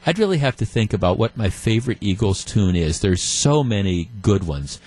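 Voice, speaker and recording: A man's voice: fairly low pitch, very animated, normal speed, darkish tone, slightly rough. A male radio talk show host chatting with call-in listeners, often restarting sentences and chuckling. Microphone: studio microphone.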